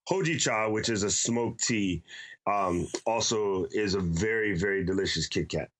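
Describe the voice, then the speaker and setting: A man's voice: on the low side, normal speed, fairly bright tone. Enthusiastic man explaining things. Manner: enthusiastic explainer, tags sentences with "right?". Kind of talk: casual podcast chat.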